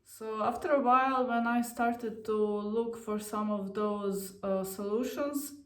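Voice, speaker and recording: Slow, candid woman in an echoey room. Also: fairly high pitch. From Croatia. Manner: unhurried, candid, with many fillers. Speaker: vlogger. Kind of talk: personal storytelling to camera. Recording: roomy sound, mic at a distance.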